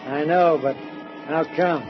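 A man's voice: a little high, fairly even intonation, slow, over music. An actor playing a folksy, prying male cab driver in 1940s radio drama style, on a dull old recording. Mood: nosy.

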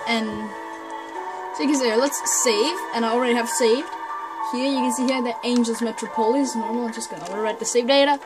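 A woman's voice: fairly high pitch, fairly quick, rough recording. A woman giving hesitant, chatty commentary during a blind video game playthrough, reading menus aloud. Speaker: gamer doing a blind playthrough. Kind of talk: casual let's play commentary. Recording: commentary over loud game music.

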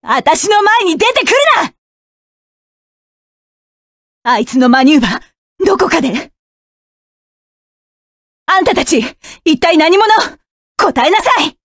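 A woman's voice: very high-pitched, lively intonation, normal speed, pauses often, no room sound, clean studio sound. An actress voicing a fierce, cold young female mecha pilot in a game, giving curt, commanding battle lines. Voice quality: sharp, commanding.

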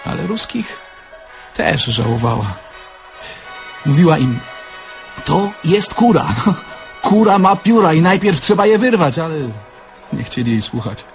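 An actor playing a naive, candid young Polish car thief in an audio drama, talking in a plain, conversational way. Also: male voice, fairly high pitch, sing-song, measured pace, creaky, long pauses, faint music behind, full character voice. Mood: wry reminiscing. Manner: conversational, plain-spoken, reminiscing.

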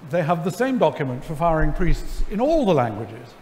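A middle-aged man, seated on stage, telling a story to an audience in a wry, animated way, full of ums and restarts. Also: on the high side, moderate pace, slight background noise. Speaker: lecture guest. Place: large church sanctuary before an audience. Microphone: headset mic. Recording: hall reverb, faint ambience.

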